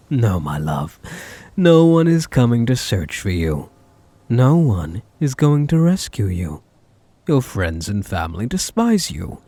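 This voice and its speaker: A man performs an obsessive male kidnapper in a close, dry ASMR roleplay. His soft, dark voice is husky and slides from syrupy endearments into giddy menace. He is possessive and taunting, relishing his control. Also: mid-range voice, dry recording, exaggerated voice. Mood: mocking menace. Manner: cloying endearments, mock-sweet taunting, swinging into giddy mania. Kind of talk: ASMR roleplay, intimate dramatic monologue.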